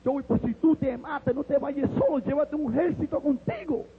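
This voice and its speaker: A man doing a low, whispering tempter spirit. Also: moderate pace. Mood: tempting. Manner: low, quiet, insinuating. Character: dark tempting spirit voice, male. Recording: tinny phone-like sound in a reverberant hall.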